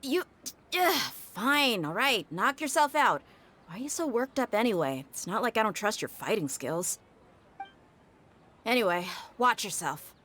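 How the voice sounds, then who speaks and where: A woman playing a brusque, proud mercenary bodyguard in a video game, curt but expressive. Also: on the high side, unhurried, slight background noise. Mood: irritated, conceding. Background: music.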